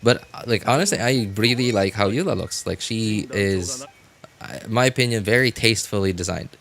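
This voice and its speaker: A man's voice: fairly low pitch, a little fast, gravelly, a bit bright. A man live-streaming a game with casual commentary, chatting to viewers in a rambling way, often repeating and rephrasing himself.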